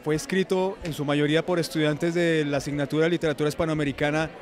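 A man, a professor, speaking thoughtfully with pauses in an interview outdoors on a campus. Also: a little high, flat delivery. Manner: thoughtful, with hesitations.

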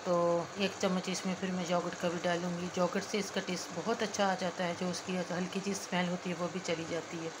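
Woman, home cooking-channel host, low and even delivery, phone recording with steady kitchen noise. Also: brisk, few pauses. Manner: chatty, talks viewers through each step. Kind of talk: casual cooking vlog narration. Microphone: phone camera held over the pot.

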